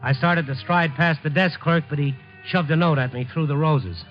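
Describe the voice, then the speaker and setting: A man's voice: fairly high pitch, normal speed, close to an everyday voice. An American man acting in a 1950s radio drama as a lovelorn, world-weary, slangy city reporter. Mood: wry, confiding. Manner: slangy, hard-boiled, wry. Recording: muffled 1950s radio recording, music underneath.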